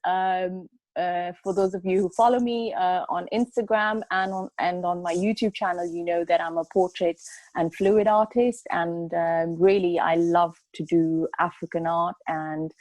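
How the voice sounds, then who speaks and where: A woman's voice: lowish voice, a little mellow, no room sound, poor audio. A woman co-hosting an art chat show, talking casually with many hesitant fillers. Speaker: co-host and artist.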